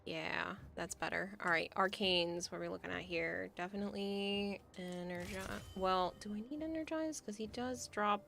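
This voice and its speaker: A woman streaming a game and chatting casually, thinking aloud as she plans, her voice going hoarse. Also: medium pitch, moderate pace, hardly any pauses, slight background noise. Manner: thinking aloud, hesitant, soft at times. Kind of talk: casual game livestream chat.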